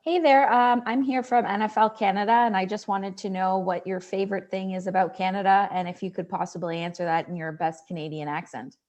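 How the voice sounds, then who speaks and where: A woman's voice: mid-range voice, steady tone, a little fast, hardly any pauses, darkish tone, muffled recording. A woman reporter asking a player a light question at a press conference, upbeat and friendly.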